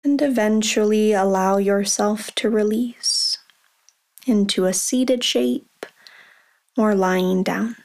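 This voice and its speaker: A woman leading a guided meditation, speaking softly and calmly with long pauses. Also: medium pitch. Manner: slow, soft and calm, with long pauses.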